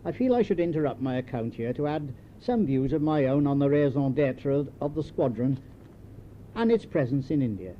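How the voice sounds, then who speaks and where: An older man with a British English accent reads his own memoir as scripted narration, in a measured storytelling manner with dry understatement. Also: lively intonation. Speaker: memoir author reading his own writing. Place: home tape recording. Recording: dull home tape with clear rumbling noise.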